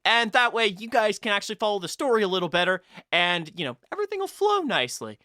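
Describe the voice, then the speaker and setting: A woman podcast host speaks in a bright, forward voice with a very lively swing in pitch. Her delivery is informal and conversational, and the recording is dry and close. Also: fast, no echo. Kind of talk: casual show introduction and chat.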